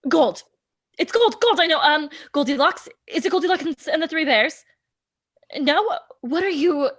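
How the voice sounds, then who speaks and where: A woman acting an eager, lively guesser at a party game in an amateur play reading. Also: high voice, moderate pace, dry recording, studio quality. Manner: eager, exclaiming. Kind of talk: amateur play reading over video call.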